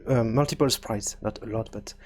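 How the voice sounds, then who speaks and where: A man hosting a casual screencast programming tutorial, thinking aloud in a halting way and often repeating words as he goes. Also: lowish voice, a little mellow, husky, faint music behind. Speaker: tutorial host and game developer.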